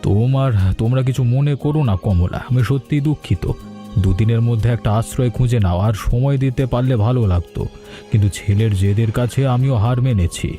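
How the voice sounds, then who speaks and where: A man acts out an older landlord in a cartoon story, kindly and ashamed. His voice is mellow and very dark, with a faint music bed behind it. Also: medium pitch, brisk, natural voice. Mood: apologetic. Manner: regretful, hesitant. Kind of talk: animated cartoon voice acting.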